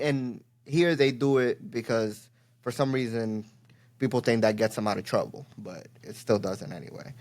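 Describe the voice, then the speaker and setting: A man's voice: mid-range voice, a little mellow. A young man from Miami co-hosting a podcast, talking loosely and profanely, joking and asking probing questions. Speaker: podcast co-host. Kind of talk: casual podcast chat.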